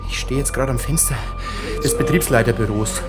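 A man's voice: medium pitch, over music, close to an everyday voice. A man acting a frightened, stammering police inspector in a radio drama. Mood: shaken. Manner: stammering, halting, increasingly panicked. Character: frightened male police inspector reporting from a massacre.

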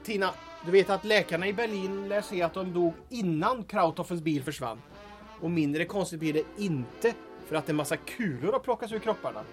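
An actress playing a worried, sardonic, practical sidekick in a comic audio drama, complaining wryly. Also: female voice, lowish voice, soft background music, natural voice. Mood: wry, doubtful. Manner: wry, complaining. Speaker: actor in audio drama serial. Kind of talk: comic radio-play acting.

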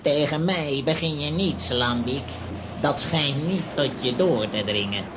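Radio play bad guy, slow and gloating, menacing, noisy recording. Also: fairly high pitch, animated. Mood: taunting. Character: menacing male villain, cold and taunting. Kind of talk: children's radio play acting.

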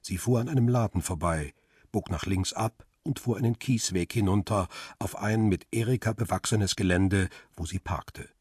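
An actor playing a middle-aged, world-weary male photographer who narrates a radio crime drama in a measured, reflective way. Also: fairly low pitch, a little mellow, breathy edge, dry recording, clean studio sound. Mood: observant, calm. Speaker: first-person narrator and lead character.

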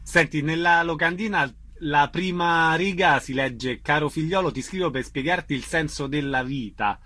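A man's voice: a little high, moderate pace, bright, forceful tone. A man hosting a radio show, interviewing his guests in a conversational style.

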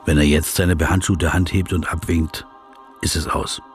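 An actor in a radio play voicing a polite, persistent male interviewer who probes, flatters and gives deliberate literary asides. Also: rumbling low, faint music behind. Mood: hushed observing. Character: persistent, courteous male interviewer, flattering, observant, narrating into dictaphone.

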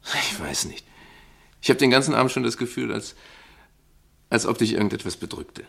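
An actor playing a concerned, tender male companion who asks questions softly and intimately in a German radio play. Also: mid-range voice, lively intonation, normal speed, vocal fry, husky, heavily acted.

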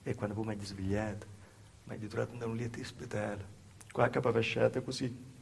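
A man's voice: fairly low pitch, level tone, a little fast, gravelly, slight background noise. An actor playing a humble, wronged southern Italian village woman in a plaintive theatrical monologue, with a Calabrian accent and repetitive storytelling. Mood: pained, stunned. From southern Italy, Calabria. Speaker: stage actor performing a monologue. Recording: live stage performance with music. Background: live music.

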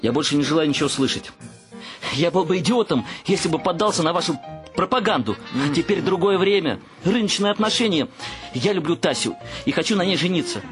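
An actor playing an exasperated, materialistic young adult son in a radio play, ranting with sarcastic scorn. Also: male voice, on the high side, expressive, fairly quick, fairly bright tone, faint music behind. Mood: angry scorn.

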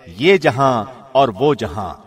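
A man reads a scripture translation in the measured, formal delivery of a radio presenter. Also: mid-range voice, big pitch swings, husky. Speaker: translation reader, radio host.

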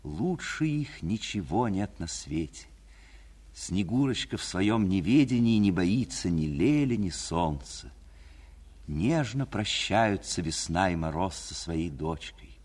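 A man narrating a scripted fairy tale for 1940s radio in a measured storyteller manner. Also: sits low, sing-song, darkish tone, husky. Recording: 1949 radio recording.

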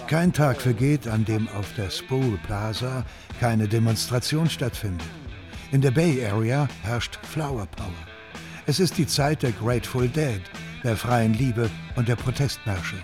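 A man's voice: mid-range voice, unhurried. Male documentary narrator over guitar music. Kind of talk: scripted narration.

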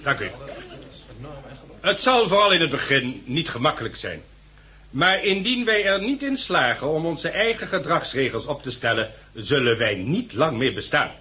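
An actor playing a stern community leader in a 1960s Dutch radio drama, lecturing in formal, emphatic sentences. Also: male voice, fairly high pitch, sharp tone, full character voice. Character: stern male leader addressing survivors of a catastrophe.

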